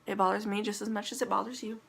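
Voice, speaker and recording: A woman's voice: mid-range voice, flat delivery, fast, creaky, slightly rough. A young adult woman vlogging from a bedroom at home, sounding congested, chatting casually with frequent ums as she reads her notes. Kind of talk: casual talking-to-camera vlog.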